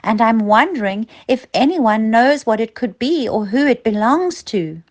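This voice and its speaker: A woman voicing an excitable, polite young male school character in a children's storybook, earnest and exclamatory. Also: a little high, unhurried. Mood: polite, excited.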